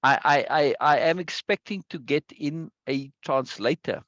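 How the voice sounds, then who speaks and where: A man hosting a casual video-call chat, talkative and quick to repeat himself and laugh. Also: fairly high pitch, a bit bright, no echo, muffled recording. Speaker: meeting host.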